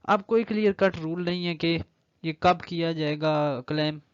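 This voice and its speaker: A man with a Pakistani accent giving an explanatory law lecture, reading clauses aloud deliberately and then explaining them. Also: on the high side, clean tone, no room sound. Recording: slightly dull, lo-fi audio.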